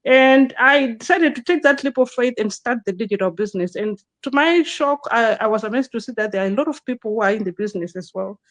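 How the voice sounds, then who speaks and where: A woman's voice: fairly high pitch, dry recording. Chatty woman hosting a live interview. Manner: chatty, frequent restarts and fillers. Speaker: host, digital business consultant.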